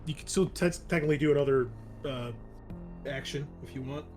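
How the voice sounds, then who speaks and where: A man's voice: medium pitch, a little fast, darkish tone, faint music behind. A man running a tabletop horror roleplaying game as game master, talking conversationally and working through rules with fillers.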